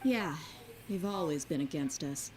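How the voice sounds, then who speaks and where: A woman voicing a soft-spoken young woman in a video game, speaking in a hushed, half-whispered way. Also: deep voice, animated, unhurried. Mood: suspicious.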